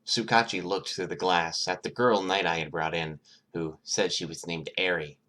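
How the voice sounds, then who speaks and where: A low-pitched narrator reads fiction aloud. The delivery is scripted storytelling, in the manner of a homemade audiobook. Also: fairly even intonation, normal speed, pushed and bright.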